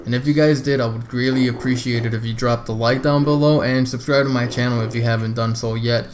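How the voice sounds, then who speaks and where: A man's voice: medium pitch, steady tone, fairly quick. Casual man guiding through a game, noisy background. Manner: informal, addresses viewers as "you guys". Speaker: video game walkthrough host. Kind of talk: casual step-by-step game walkthrough commentary.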